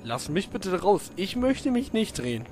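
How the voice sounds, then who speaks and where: A man's voice: high-pitched, sing-song, a little slow, darkish tone, slight background noise. A man giving casual, jokey commentary while playing a video game, talking to the characters on screen. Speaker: let's play commentator. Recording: game music behind the voice. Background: game music.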